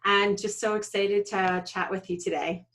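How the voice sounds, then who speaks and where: A middle-aged woman is a guest on a casual podcast. She has a mid-pitched voice and tells personal anecdotes in a lively, engaged way. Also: moderate pace. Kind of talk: casual interview chat.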